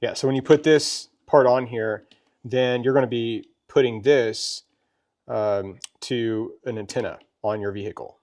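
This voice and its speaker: A man with a medium-pitched voice presents a product demonstration. He talks in a casual, folksy way, chatting through each step conversationally. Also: fairly even intonation, a little slow, a little mellow. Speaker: presenter of a gear review.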